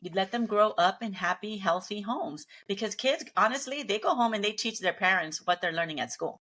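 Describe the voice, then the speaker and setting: A woman's voice: medium pitch, expressive, brisk, sharp tone. A middle-aged woman whose first language is Spanish, speaking earnestly and upbeat as a guest in a casual interview. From Mexican family, grew up in Los Angeles.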